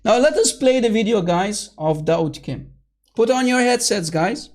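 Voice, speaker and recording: High-voiced man in a heated online debate. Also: lively intonation, a little slow, a little mellow. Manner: heated, scornful, calls opponents names; repeatedly addresses viewers as guys and brother. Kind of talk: combative online debate commentary. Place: at his computer.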